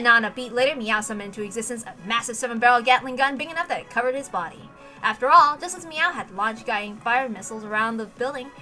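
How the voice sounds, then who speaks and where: A woman reading a story aloud as a narrator, with an animated, emphatic dramatic delivery. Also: fairly high pitch. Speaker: reader narrating a visual novel.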